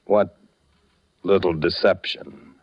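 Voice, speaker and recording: Wry, courteous gentleman in over his head, low voice, dry delivery, muffled forties radio suspense recording. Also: fairly even intonation, slow. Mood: nervous politeness. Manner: dry, understated, hesitant under pressure. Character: wry, polite man caught up in intrigue. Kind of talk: 1940s radio suspense acting. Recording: dull, lo-fi 1940s radio broadcast.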